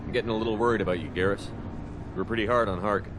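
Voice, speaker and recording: Man as a low-voiced, steady commander. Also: fast talker, noisy background, natural voice. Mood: concerned. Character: principled, steady male military commander. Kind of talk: dramatic video game acting.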